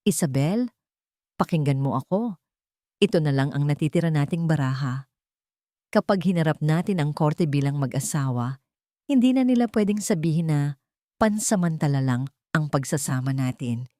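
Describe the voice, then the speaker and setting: Lady narrator doing a serious determined guy in a love story, low voice, kinda pleading, really dry sound. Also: animated, moderate pace, long pauses, dark tone, no room sound. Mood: serious, persuasive. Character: earnest, determined young man proposing a marriage of convenience.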